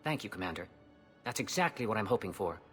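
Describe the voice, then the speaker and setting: Eager, fast-talking filmmaker. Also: male voice, on the low side, expressive, a bit bright, long reverb tail, faint music behind. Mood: hopeful, grateful. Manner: persuasive, earnest. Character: eager, pleading male documentary filmmaker. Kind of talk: video game acting.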